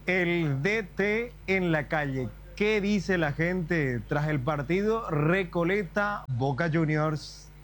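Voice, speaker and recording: A man doing street interviews outdoors after a match, asking brisk, friendly questions. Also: a little high, animated, a bit bright, a little hiss or hum. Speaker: street reporter. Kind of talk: vox-pop interviewing.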